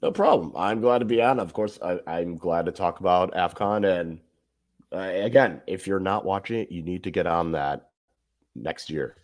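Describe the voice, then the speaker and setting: A man appearing as a guest on a soccer podcast, talking in a thoughtful, measured way and restating his points as he goes. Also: sits low, a little mellow. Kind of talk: casual soccer podcast chat.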